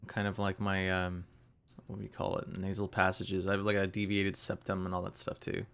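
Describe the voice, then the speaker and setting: A man's voice: low voice, a little fast, gravelly, clean tone. Sleepy, nasal man streaming a game. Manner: relaxed, rambling, many fillers. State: sleepy, just woken up. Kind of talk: casual live-stream gameplay commentary.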